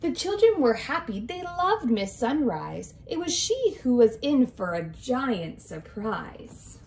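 Animated, high-voiced young woman reading to children. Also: takes it slowly, slight background noise. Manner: expressive, sing-song, addressing young children. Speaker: kindergarten teacher. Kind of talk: children's picture book read-aloud. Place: small indoor room with decorated walls.

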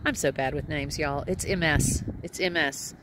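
A woman's voice: deep voice, moderate pace, noisy background. A woman in her forties with a Texan accent, chatting casually to a phone camera outdoors at an RV campground, rambling and laughing often. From Texas. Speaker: RV travel vlogger. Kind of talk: casual selfie vlog chat. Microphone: phone camera at arm's length.

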